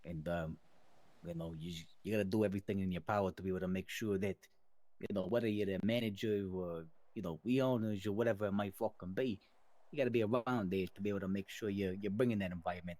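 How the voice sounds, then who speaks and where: A man's voice: deep voice, quick pace, darkish tone, no echo, close to an everyday voice. A man playing an earnest, long-winded mobster who rambles and speechifies in an improvised online game roleplay. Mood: earnest, measured.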